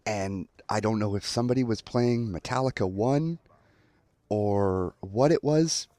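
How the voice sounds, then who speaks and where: A man's voice: medium pitch, a little mellow, dry recording. A young adult man telling a story in a casual commentary style, acting out quotes as he goes. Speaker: online storyteller.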